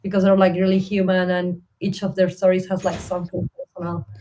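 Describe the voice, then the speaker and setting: A woman's voice: on the low side, flat delivery, a little fast, warm tone, a little hiss or hum. A woman from Argentina with an Argentine Spanish accent, chatting in a friendly, rambling way as a podcast guest. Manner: friendly, rambling, filler-heavy. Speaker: interview guest, service designer. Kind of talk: casual interview chat.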